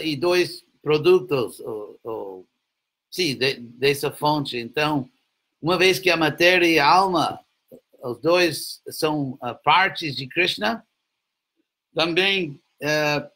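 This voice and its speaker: Slow, bright-voiced male spiritual teacher. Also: fairly high pitch, low-quality recording. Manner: unhurried, explanatory, gently humorous. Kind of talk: lecture with questions and answers.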